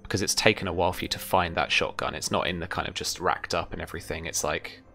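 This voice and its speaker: A young man runs a tabletop roleplaying session. His voice sits very deep, with a crisp, forceful edge and plenty of fry. Music plays behind him. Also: fairly even intonation, fast talker. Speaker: game master. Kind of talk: running a tabletop roleplaying game. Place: indoor room at home, video call. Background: background music.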